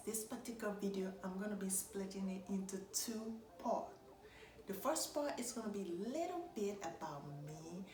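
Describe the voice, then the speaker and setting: A woman's voice: mid-range voice, roomy sound, a little hiss or hum. A young woman vlogging casually about hair care, chatting to her viewers and calling them guys. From Liberia. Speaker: natural hair vlogger and braider. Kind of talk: casual vlog chat and voiceover.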